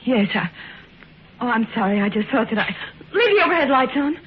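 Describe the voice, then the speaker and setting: A woman's voice: on the high side, very animated, slight background noise. Frightened young woman, tinny old radio. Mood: nervous. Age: young adult. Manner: halting, anxious, breathlessly repeating words. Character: frightened, fretful young woman haunted by shadows. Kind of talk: old-time radio horror acting.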